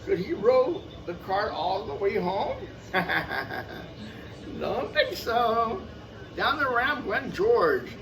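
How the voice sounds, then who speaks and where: Expressive high-voiced man reading to kids. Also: extremely slow, over music. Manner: expressive, repeats phrases for young listeners. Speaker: storyteller. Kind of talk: children's picture-book read-aloud with casual asides. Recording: noisy, picked up from a distance.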